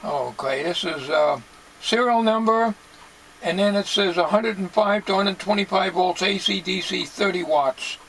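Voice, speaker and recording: An older man talking casually as he works at a bench in a home workshop, plain-spoken and musing, with halting delivery. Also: sits high, fairly bright tone. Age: 60s or older. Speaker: hobbyist radio restorer. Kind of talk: casual talking while working. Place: cluttered home electronics workshop, indoors. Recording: noisy home workshop recording.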